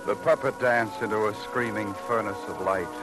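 A man's voice: lowish voice, normal speed, close to an everyday voice. A man playing a tough, weary big-city police detective in a 1950s radio crime drama, terse and brooding. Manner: hard-boiled, terse, brooding. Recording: old radio recording with music.

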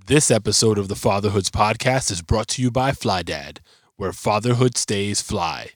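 A man's voice: on the low side, moderate pace. A man hosting a podcast, reading a sponsor spot and introducing a guest in an enthusiastic, slangy way. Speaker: podcast host.

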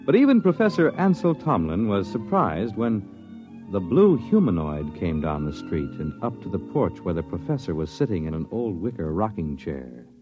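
A lowish-voiced man announces and narrates vintage radio drama. His formal, dramatic delivery swings very widely in pitch. Music plays behind him on a dull old recording. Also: very animated, normal speed.

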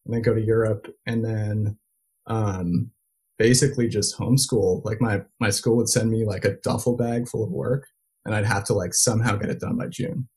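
Guy with a husky lowish voice, rambling on with lots of "like", chill interview chat. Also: steady tone, moderate pace, darkish tone. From United States and Belgium. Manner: lots of filler likes, restarts. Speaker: interview guest. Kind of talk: casual conversational storytelling.

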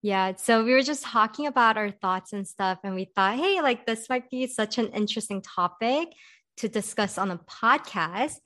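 A woman's voice: on the high side, animated, normal speed, fairly bright tone. A young woman hosting a podcast, speaking in an upbeat, casual way with lots of "like" and encouraging affirmations. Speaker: podcast host.

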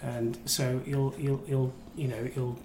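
A man's voice: medium pitch, little pitch movement, takes it slowly. A man chatting casually about football as a podcast guest, teasing and rambling, often repeating himself. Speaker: crime reporter and podcast guest. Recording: echoey room, faint background noise.

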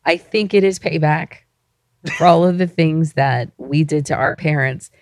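Woman, hoarse with a cold, wry teasing podcast co-host, dry close mic. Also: deep voice, unhurried, dry recording. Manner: wry and teasing, laughs often. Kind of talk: casual podcast chat.

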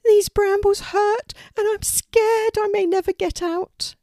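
A woman's voice: very high voice, a little slow, mellow, dry recording, clean studio sound, pitched above the performer's own voice. A woman voicing a frightened, plaintive young female raven in a children's storybook. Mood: scared, pleading. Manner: pleading, plaintive.

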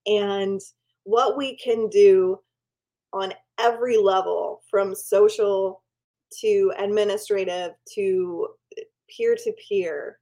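A woman hosting a podcast interview, speaking in an enthusiastic, deliberate way as she welcomes her guest. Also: medium pitch, steady tone. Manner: warm, enthusiastic, slow deliberate intro. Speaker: podcast host.

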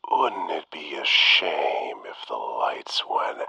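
A sinister prank caller hisses down the line in a breathy, gravelly whisper. The voice sits extremely low and crawls along at a dead-slow pace. Bright edge, dry close sound. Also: expressive, no room sound, heavily acted. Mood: menacing. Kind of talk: audio drama acting.

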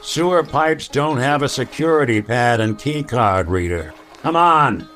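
A world-weary male detective in an old-time radio mystery. He talks in a dry, sardonic, hard-boiled way at a middling pitch. Faint music sits behind the voice. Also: lively intonation, fairly bright tone. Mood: brisk, curt. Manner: dry, hard-boiled, unhurried investigator's delivery.

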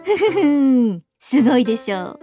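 A woman playing a cheerful, pushy teenage schoolgirl in a radio drama, animated and girlish in her delivery. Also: high voice, moderate pace, soft background music. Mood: bright, eager.

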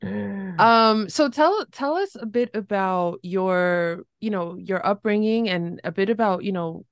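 A woman's voice: mid-range voice, slow pace, no room sound, clean studio sound. A woman hosting a podcast, chatting casually with fillers and an easygoing, self-aware manner. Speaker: podcast host. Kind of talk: casual interview conversation.

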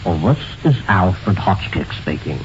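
Very deep, slow-paced man presenting. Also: expressive, over loud music. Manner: measured, formal. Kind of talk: scripted narration of a historical anecdote. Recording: dull, noisy vintage radio recording.

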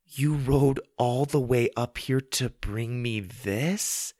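Guy doing a little girl, earnest n nervy, raised pitch, storybook character. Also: very animated, moderate pace, vocal fry, breathy edge, no echo, studio quality, full character voice. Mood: astonished. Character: young girl, sensible but nervous and earnest.

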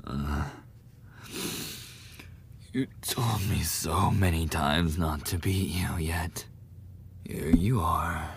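A young man playing a lovesick, defensive school bully in a boyfriend ASMR roleplay, speaking haltingly in a husky, breathy voice. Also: low voice, noisy background, full character voice. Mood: pained, bitter. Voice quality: husky, breathy, often dropping to a whisper. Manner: halting, swearing, coughing between phrases.

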